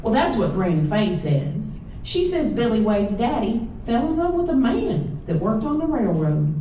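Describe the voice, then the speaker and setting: Southern lady reading her stories out loud, soft mellow drawl, really echoey room, mic kinda far. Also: a little high, big pitch swings, unhurried, a little hiss or hum. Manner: folksy, drawling storytelling. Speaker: author reading own stories. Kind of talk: live literary reading, first-person child narrator. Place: live reading in an indoor event room.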